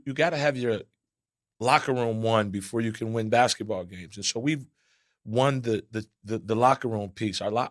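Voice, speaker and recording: A man with a loud voice, an assistant basketball coach answering at a press conference, earnest and often repeating words as he gets going. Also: mid-range voice, no room sound, studio quality.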